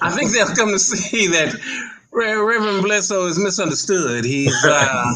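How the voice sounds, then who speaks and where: Male talk show guest, high bright voice, casual and halting, good-natured, slightly noisy line with clicks. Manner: hesitant, good-humoured, thinks aloud. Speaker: talk show guest, stage play actor. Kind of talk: casual interview chat.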